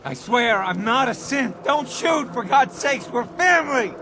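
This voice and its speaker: A terrified, pleading game townsman. His voice is extremely high and bright in his panic. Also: expressive, measured pace, heavily acted. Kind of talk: video game voice acting. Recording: faint background noise.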